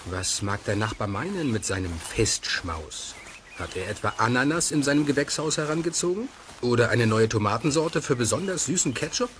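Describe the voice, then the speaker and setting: A man playing the narrator of a children's radio play, telling the story in a measured, inquisitive way. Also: medium pitch, animated, a bit bright, lightly acted. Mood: measured, intrigued. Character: male storyteller of a children's tale. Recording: noisy cassette transfer of radio play.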